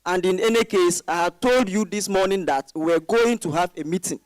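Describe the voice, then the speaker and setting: A man's voice: sits high, moderate pace, clear, resonant tone, dry recording, lightly acted. A man performing an apologetic, evasive husband in a dramatized dialogue reading, explaining himself defensively.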